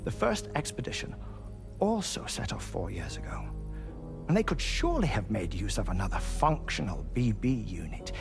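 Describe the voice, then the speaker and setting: An actor playing a measured, formal investigator who explains things deliberately and courteously in a video game cutscene. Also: male voice, fairly low pitch, heavily acted. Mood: grave, questioning. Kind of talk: dramatic expository game cutscene dialogue.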